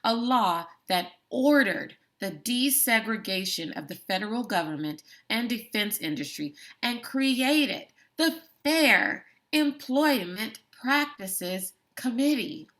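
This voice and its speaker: A woman teacher reads a book chapter aloud for her students at a measured, deliberate pace. She stresses important words and now and then breaks off for a brief comment of her own. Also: on the high side, expressive, a bit bright. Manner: slow, deliberate reading with emphatic words and brief asides. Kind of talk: classroom read-aloud of a book.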